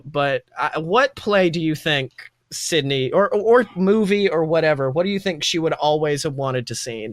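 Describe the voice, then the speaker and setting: Guy game master with a higher-pitched voice, rambling casual slangy storytelling, dry close sound. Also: a bit bright, dry recording. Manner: informal, meandering, slangy storytelling. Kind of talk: casual improvised narration of a tabletop roleplaying game.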